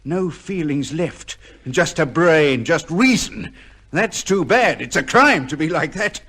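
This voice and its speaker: An actor playing a cold, ruthless, vengeful son with a theatrical, taunting manner in an old-time radio drama. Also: male voice, on the high side, lively intonation, a little slow, fairly bright tone, slightly rough, full character voice. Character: bitter, vengeful illegitimate son, cold, ruthless, with heart trouble.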